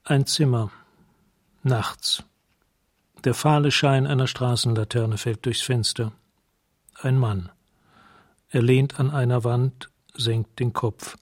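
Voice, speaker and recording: An actor narrating as a detached observer, giving scene descriptions in short, measured phrases for a radio play. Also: male voice, medium pitch, steady tone, no room sound, clean studio sound. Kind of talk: radio drama scene narration, present tense.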